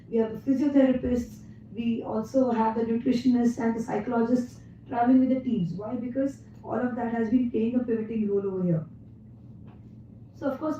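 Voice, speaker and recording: An Indian woman with a worn, fading voice addresses a lecture hall with lively energy, pausing often with "uh." Her tone is very dark and mellow, and her voice rings through a big echoey room from a distance. The sound is dull. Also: fairly high pitch, slight background noise. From India (Mumbai). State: losing her voice. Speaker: guest speaker at a physiotherapy event. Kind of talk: conference talk to an audience.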